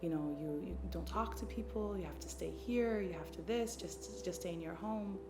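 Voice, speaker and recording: A woman's voice: fairly low pitch, moderate pace, noisy background. A woman speaking reflectively and earnestly in an interview, telling personal stories as a community mental health worker. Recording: roomy echo, loud music underneath. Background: loud music.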